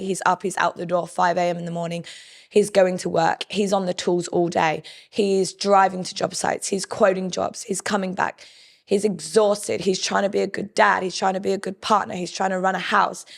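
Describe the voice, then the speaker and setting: A croaky woman in her thirties with a low, gravelly voice, talking candidly on a podcast. She tells her story plainly and runs through lists of tasks one after another. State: hoarse, croaky. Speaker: podcast guest, business co-owner. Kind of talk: casual interview chat.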